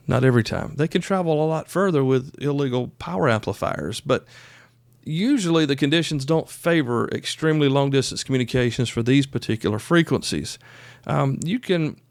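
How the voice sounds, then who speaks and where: A man's voice: medium pitch, clean tone, dry recording. A man hosting a podcast, explaining things in a conversational, folksy way as if chatting with the guys listening. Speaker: podcast host. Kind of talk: casual instructional podcast monologue.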